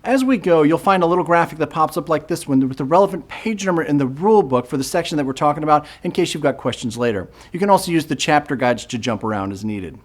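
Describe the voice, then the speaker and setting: A man's voice: on the high side, lively intonation, quick pace, hardly any pauses. A man hosting a board game channel, walking through the rules in a scripted, upbeat tutorial with jokey, self-deprecating asides. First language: English. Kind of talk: scripted how-to-play tutorial.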